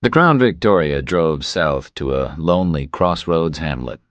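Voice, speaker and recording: Really deep guy narrating a crime audiobook, lively pitch, dry studio sound. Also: a little slow, no echo. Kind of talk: scripted thriller narration.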